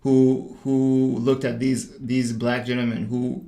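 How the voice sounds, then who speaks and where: A man's voice: medium pitch, level tone, slow, vocal fry, mellow. Hesitant young man from Zambia, echoey room. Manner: hesitant, many fillers and repeated words. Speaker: guest. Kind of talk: casual conversation.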